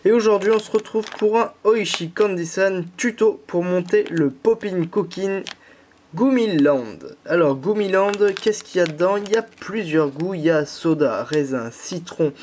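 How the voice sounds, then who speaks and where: A man's voice: high voice, unhurried, a little mellow, a little hiss or hum. A young man from France with a slight head cold, patiently walking viewers through a candy kit tutorial step by step. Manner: patient, talking viewers through each step with many fillers. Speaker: YouTuber.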